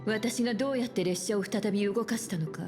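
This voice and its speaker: A woman's voice: mid-range voice, very fast, music in the background, close to an everyday voice. An actress playing an assertive, self-assured woman in a fantasy video game, with a cool, commanding manner. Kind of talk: Japanese video game voice acting.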